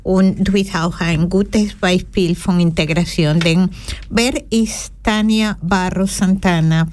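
Slow-speaking woman radio host. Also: fairly low pitch, steady tone, a little mellow, slight background noise. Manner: hesitant, with fillers and restarts. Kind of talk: community radio interview. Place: radio studio. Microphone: studio microphone.

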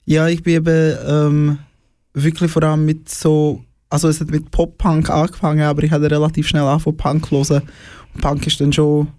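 A man's voice: on the high side, completely flat delivery, brisk, darkish tone, dry recording. A young man from the former Eastern Bloc, a guest in a casual studio conversation, hesitant and full of fillers, laughing often.

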